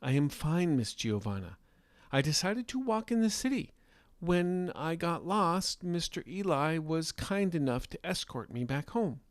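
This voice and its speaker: Guy doing a polite little girl, sure of herself, prim, higher voice, story podcast. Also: big pitch swings, measured pace, no room sound. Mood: composed. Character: self-assured young girl, polite but firm. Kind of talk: scripted audio fiction storytelling.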